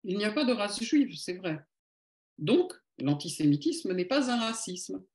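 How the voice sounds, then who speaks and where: A woman's voice: medium pitch, big pitch swings, unhurried, darkish tone. A woman giving a polemical lecture at an evening meeting, with sardonic, ironic asides.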